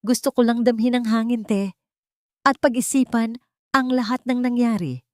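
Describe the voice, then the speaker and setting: A woman's voice: on the high side, normal speed, a little mellow, no echo, studio quality, voice pushed up, close to an everyday voice. A woman voicing a reflective, loving young wife with an earnest, sentimental manner in an audio drama.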